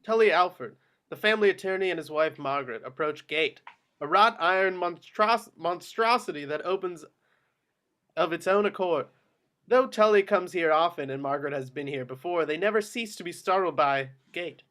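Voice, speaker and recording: Male stage-directions narrator, fairly high voice, scripted screenplay read. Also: lively intonation, normal speed, fairly bright tone.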